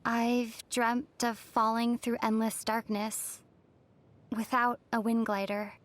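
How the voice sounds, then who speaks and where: A woman voicing a young female adventurer in a video game, gentle and lightly teasing in manner. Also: fairly high pitch, little pitch movement, takes it slowly. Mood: wistful. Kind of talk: video game character acting.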